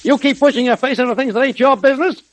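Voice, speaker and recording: A blustering gangland boss of a mining town in an old radio play. His voice sits high and snarls out slangy threats. The sound is dry, like a vintage thirties broadcast. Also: very fast, no room sound. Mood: menacing. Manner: snarling, slangy tough-guy talk. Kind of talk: 1930s radio acting. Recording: vintage 1930s radio recording.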